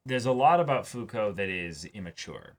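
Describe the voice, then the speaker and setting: A young man with a deep, low voice hosts a casual reaction discussion. He works through his points in an analytical, measured way, qualifying them as he goes. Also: expressive. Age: young adult. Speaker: host of an anime reaction show. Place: small indoor room, seated before a backdrop.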